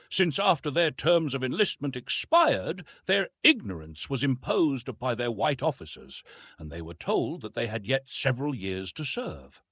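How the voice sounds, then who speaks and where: A man reads literary narration as an audiobook storyteller. His delivery is formal and measured, with pitch that moves widely through each sentence. The voice has a slightly husky grain and sits in a very dry, close acoustic. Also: fairly bright tone, dry recording.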